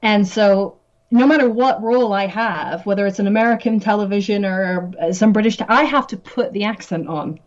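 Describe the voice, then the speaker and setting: A woman's voice: medium pitch, normal speed. Chatty woman guest laughing a lot, kinda muffled lo-fi sound. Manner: chatty, laughs often, many restarts. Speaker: guest, musician and actress. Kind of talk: casual interview chat.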